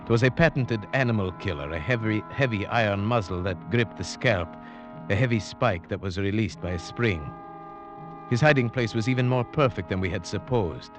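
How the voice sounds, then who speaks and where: An actor playing an earnest, frightened storyteller in a 1940s radio drama, speaking in a measured, dramatic way. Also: male voice, lowish voice, natural voice. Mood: grave, suspenseful. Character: earnest male storyteller recounting a frightening hunt. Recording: old radio broadcast under music.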